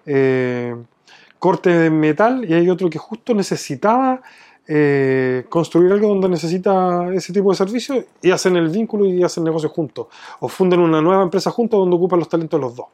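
A man's voice: a little high, normal speed, dark tone. A man from Chile with a Chilean accent, explaining things from his own experience in a conversational interview. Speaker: business owner being interviewed.

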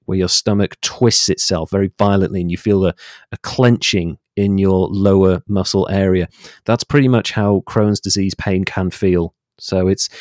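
Low-pitched man explaining, dry close sound. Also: a little mellow, no echo. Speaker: guest. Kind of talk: personal interview account.

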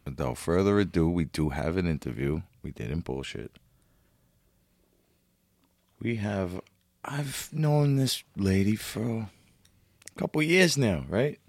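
Low-voiced man, blunt and profane. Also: takes it slowly, long pauses, no echo, studio quality. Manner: blunt, swears a lot, animated. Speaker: podcast host. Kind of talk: casual profane chat.

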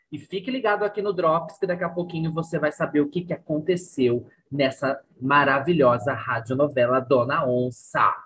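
A woman with a Brazilian accent and a husky voice, presenting a show as host in an upbeat style. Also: lowish voice, low-quality recording.

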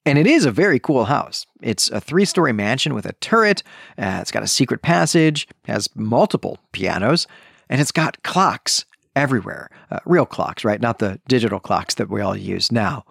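A man's voice: mid-range voice, lively intonation, moderate pace, vocal fry, a bit bright, husky, no room sound, clean studio sound. A man hosting a solo book club podcast, talking conversationally with self-correcting asides. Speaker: podcast host.

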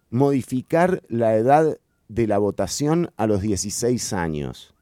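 Radio host, male; slow, emphatic opinion delivery, dry studio sound. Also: mid-range voice, mellow, no room sound. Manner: emphatic, repeats key phrases for stress. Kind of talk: political opinion commentary.

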